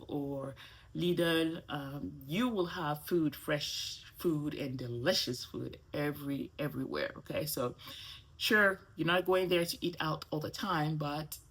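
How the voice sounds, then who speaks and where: A middle-aged woman whose first language is French talks casually to camera in English. Her voice sits low and has a husky, slightly breathy grain. She keeps things chatty, often checking in with the listener. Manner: chatty, with frequent "okay?" and "right?" check-ins. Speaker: vlogger.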